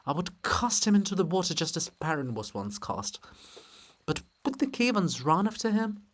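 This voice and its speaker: A man puts on a proud pagan woman of ancient times for a stage drama. The pitch is lifted and the delivery loud and exclamatory, full of grumbling indignation and quick temper. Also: very animated, a little hiss or hum. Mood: indignant.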